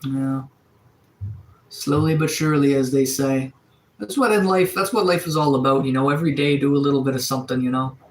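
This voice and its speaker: A man in his thirties from Atlantic Canada, chatting casually on a live stream, relaxed and rambling with lots of fillers and restarts. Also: medium pitch, fairly quick, reverberant. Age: 30s. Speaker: livestreamer. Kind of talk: casual live-stream chat reading viewer comments. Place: sunroom.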